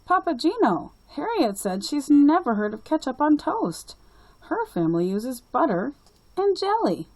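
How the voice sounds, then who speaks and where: A woman voicing a sweet, sensitive young schoolgirl in a storybook, earnest and expressive. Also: high-pitched, unhurried, no echo, voice pushed up, exaggerated voice, poor audio.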